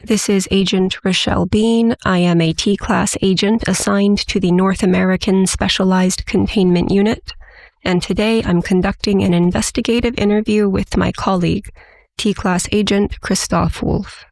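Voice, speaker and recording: A woman playing a businesslike, weary agent who conducts a formal interrogation in an audio drama, in measured official phrasing. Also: medium pitch, steady tone, vocal fry, a little mellow, no room sound, close to an everyday voice. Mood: formal, detached.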